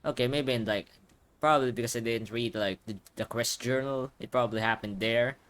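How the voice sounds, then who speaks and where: A man's voice: mid-range voice, a little fast, fairly bright tone, smooth. A man giving casual, rambling commentary on a game playthrough into a headset mic. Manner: informal, rambling asides. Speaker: game streamer. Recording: game music behind voice.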